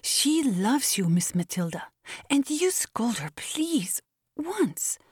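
A woman voicing a pleading, scolding, long-suffering woman servant in a story, with an insistent, drawn-out manner. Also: a little high, sing-song, darkish tone, breathy edge, no room sound, studio quality, pitched above the performer's own voice, heavily acted. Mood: pleading, exasperated.

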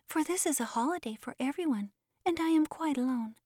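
Woman doing a high eager little boy. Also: a little fast, no echo, clean studio sound. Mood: excited. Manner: excited and bright. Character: eager little six-year-old boy.

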